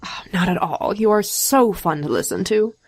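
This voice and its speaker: A woman playing a polite, flattering, gently probing hostess in an audio comedy skit, coaxing as she talks. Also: mid-range voice, normal speed, natural voice. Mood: curious, polite.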